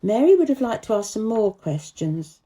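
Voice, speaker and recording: Very mellow woman storyteller. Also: fairly high pitch, sing-song, moderate pace. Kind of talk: scripted storybook narration.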